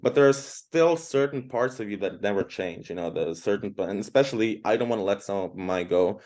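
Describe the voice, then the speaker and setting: A man's voice: fairly low pitch, lively intonation, brisk, darkish tone. A man from Croatia in his thirties, a guest in an interview, talking casually and at length with many fillers. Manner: chatty, rambling, frequent fillers.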